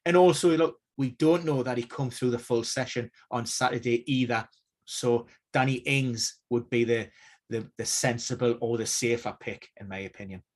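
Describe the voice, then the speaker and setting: A man giving injury news analysis on a casual live stream, measured and hedging, with many fillers and restarts. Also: medium pitch.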